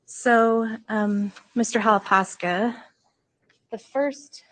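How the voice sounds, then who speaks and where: A woman prosecutor questioning a witness in a courtroom, soft-spoken and polite. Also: fairly high pitch, takes it slowly, rough recording.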